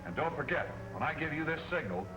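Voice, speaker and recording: An actor playing a confident publisher and secret masked crime-fighter in a 1940s film serial. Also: male voice, fairly high pitch, expressive, fast talker, lightly acted, rough recording. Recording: old film soundtrack, loud music.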